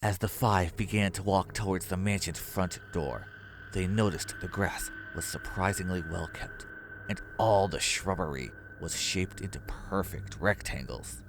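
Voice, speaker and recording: A man's voice: low-pitched, measured pace, noisy background. A man reading scripted narration for a horror story.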